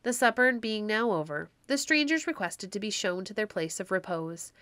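A woman's voice: mid-range voice, animated, normal speed, fairly bright tone, dry recording. A woman narrator reading a classic tale aloud in a scripted storybook style.

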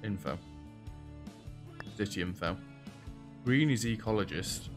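A young British man with a rather deep, low-pitched voice gives casual let's-play commentary into a headset mic at home. He is chatty and self-deprecating and talks back to the game's characters. Faint music plays behind him. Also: expressive, unhurried. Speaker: gaming video host.

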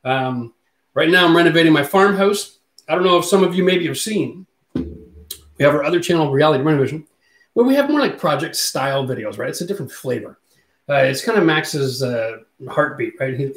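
Chatty man on a livestream, roomy echo. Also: a little high. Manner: chatty, jokey, reads out viewer comments. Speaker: channel host. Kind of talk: casual livestream chat with viewers. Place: home studio room. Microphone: studio mic on boom arm.

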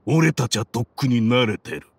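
An actor playing a proud, resolute middle-aged desert militia leader in a game, with a grave, dramatic, defiant delivery. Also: male voice, mid-range voice, normal speed, faint music behind, natural voice. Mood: grave, resigned. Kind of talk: Japanese game voice acting.

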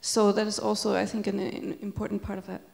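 A woman in the audience offering a recommendation in a measured way, with frequent pauses. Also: medium pitch, little pitch movement, creaky, a little mellow. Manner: measured, many filler pauses. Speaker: audience member commenting. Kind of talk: conference Q&A. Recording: echoey lecture hall.

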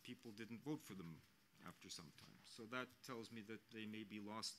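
A man's voice: fairly low pitch, a bit bright, a little hiss or hum. Earnest older man at a panel desk. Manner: measured, earnest, with frequent restarts and fillers. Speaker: international mission official on a panel. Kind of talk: unscripted panel remarks. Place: press center hall. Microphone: desk microphone.

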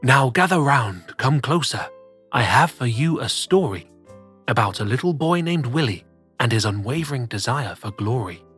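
A man voicing a wise, gruff old woman in a storybook, with a raspy voice and commanding manner. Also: medium pitch, animated, moderate pace, fairly bright tone, faint music behind, lightly acted. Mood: confiding.

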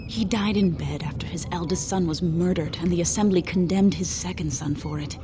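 An actress playing a grave young dwarf noblewoman in a video game, speaking in a measured, solemn way over game music. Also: lowish voice, close to an everyday voice. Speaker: game character.

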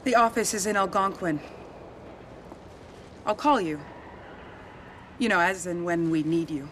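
A woman's voice: on the low side, unhurried, a bit bright, a little hiss or hum. An actress playing a composed young American woman, an undercover government agent, in a video game. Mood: firm, regretful. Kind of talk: video game cutscene acting.

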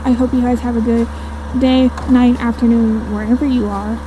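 A woman's voice: fairly high pitch, flat delivery, moderate pace. A woman in her twenties chatting casually and rambling while riding a motorcycle, with a giggly, friendly manner. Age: 20s. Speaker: motovlogger. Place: outdoors on a moving motorcycle. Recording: wind and engine noise.

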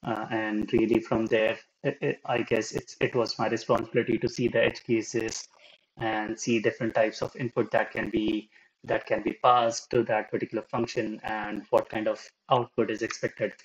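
A young man streaming from a small room, telling a story in a casual, hesitant way, with many fillers and restarts. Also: lowish voice. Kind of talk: casual chat. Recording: slightly dull lo-fi stream audio with faint noise.

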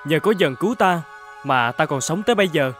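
An actor playing a good-hearted young man in an animated fairy tale, speaking earnestly and expressively over music. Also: on the high side, brisk. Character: good-hearted poor young man who becomes king. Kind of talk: cartoon fairy-tale voice acting.

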